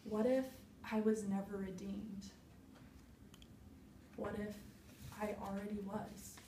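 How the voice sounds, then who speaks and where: Young woman acting a grieving, slow-spoken woman hiker, echoey. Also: mid-range voice, flat delivery, warm tone, lightly acted. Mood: searching, defiant. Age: young adult. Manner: slow, deliberate, emphatic phrases with heavy pauses. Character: grieving, self-searching woman hiker, raw and resolute. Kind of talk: dramatic stage monologue. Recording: roomy echo, distant mic, faint noise.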